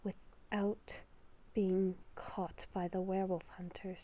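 A woman's voice: mid-range voice, flat delivery, slow pace, warm tone, natural voice. A woman as a whispering werewolf beast within. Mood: stern. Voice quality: breathy whisper. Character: whispering inner werewolf beast, blunt, ominous, impatient voice. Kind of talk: amateur game roleplay acting.

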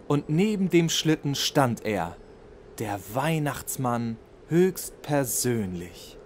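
A narrator telling a children's bedtime story in an expressive, scripted storytelling manner. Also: mid-range voice, slow, a little hiss or hum. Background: music.

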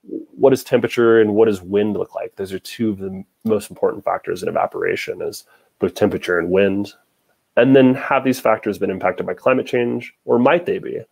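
A young man with an American accent and a fairly low voice gives an informal science lecture. He explains step by step, posing questions and then answering them. He speaks over a video call from indoors. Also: fairly even intonation, brisk, creaky, darkish tone, slightly rough. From Nevada. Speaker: hydrologist giving a lecture.

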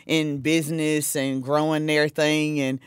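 A woman's voice: low-pitched, little pitch movement, measured pace, smooth, dry recording, clean studio sound. A woman from South Carolina with a Southern accent, hosting a podcast interview in casual, enthusiastic chat, laughing often and restarting her sentences. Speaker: podcast host. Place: small dark studio with couches. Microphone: studio mic on stand.

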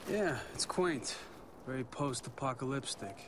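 A man's voice: medium pitch, expressive, measured pace, noisy background, lightly acted. A man playing a wisecracking, irreverent young soldier with a wry, casual way of talking in a video game. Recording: music behind.

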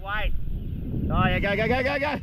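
An Australian man chatting excitedly while fishing on a boat, often repeating himself as he calls out. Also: high-pitched, normal speed. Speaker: host of a fishing and camping vlog. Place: outdoors on a small boat at sea. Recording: noisy outdoor boat recording.

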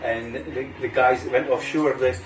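A middle-aged man with an Aberdeen Scottish accent, hosting a relaxed cigar review chat at a home bar and using local words like "wee" and "aye". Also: medium pitch, moderate pace. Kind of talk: casual chat. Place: home bar room, indoors. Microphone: camera mic at table distance. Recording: roomy, distant camera mic, faint music.

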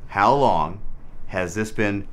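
A man's voice: fairly low pitch, takes it slowly. An American middle-aged man playing a betrayed, angry groom in a dramatic short film. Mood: hurt, bitter. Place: hotel room. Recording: music behind the voice.

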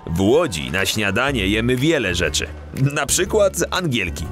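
An actor playing a polite, reasonable older detective in a children's audio drama, patiently explaining things. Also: male voice, lowish voice, sing-song, normal speed, fairly bright tone, faint music behind.

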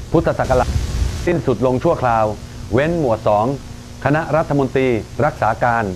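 A man acts out a solemn official announcer for a military government in an analog horror broadcast. He reads decrees formally and with measured care, at a middling pitch. Clear noise sits behind the voice. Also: natural voice, rough recording. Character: solemn male junta announcer reading decrees. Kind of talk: formal government proclamation read-out.

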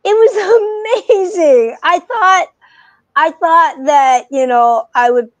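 A middle-aged woman hosting a podcast, chatting in an animated way with frequent restarts, on a video call with wired earbuds. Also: very high-pitched, slow, no room sound, muffled recording. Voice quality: soft voice. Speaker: podcast host. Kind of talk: casual conversation. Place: home room, video call. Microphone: wired earbuds headset.

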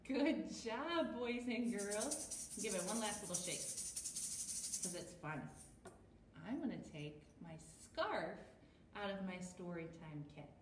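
An American woman in her thirties hosting a toddler storytime in an encouraging, animated, sing-song way. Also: mid-range voice, slow pace, echoey room, recorded from a distance, background noise. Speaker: children's storytime host. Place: small plain room indoors.

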